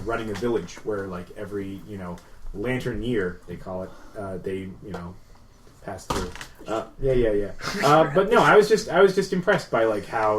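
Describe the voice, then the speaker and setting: A man's voice: lowish voice, a little hiss or hum, rough recording. A man co-hosting a casual podcast, explaining games in a rambling, enthusiastic way full of fillers. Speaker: podcast co-host.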